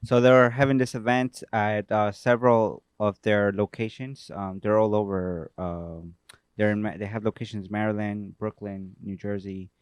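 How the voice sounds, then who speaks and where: A man co-hosting a casual podcast, explaining things in a relaxed way. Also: on the low side, moderate pace, smooth, no echo. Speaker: podcast co-host. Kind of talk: casual chat.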